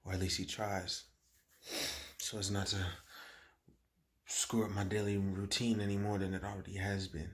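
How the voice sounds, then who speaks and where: A man acts out a troubled, guilt-ridden schoolboy in a dramatic monologue. His voice sits lowish and hushed, halting and deliberate, with heavy pauses between phrases. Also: level tone. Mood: confused, grieving.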